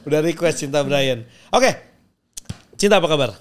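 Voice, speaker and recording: A man's voice: a little high, expressive, normal speed, slight background noise. Playful, teasing podcast host man. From Java, Indonesia. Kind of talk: casual interview chat.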